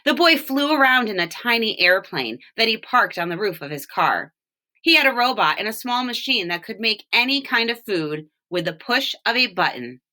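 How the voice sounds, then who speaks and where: A woman reading a children's storybook aloud, with expressive, deliberate phrasing and short explanations. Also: medium pitch. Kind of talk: read-aloud storytelling with brief picture explanations.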